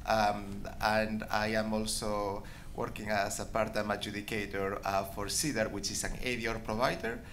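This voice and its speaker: A man with a Spanish accent speaking on a conference panel in a measured way, with many filler ums. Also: fairly low pitch, steady tone, a bit bright, a little hiss or hum. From Spain. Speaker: law professor, panelist. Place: conference room with audience. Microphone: table microphone.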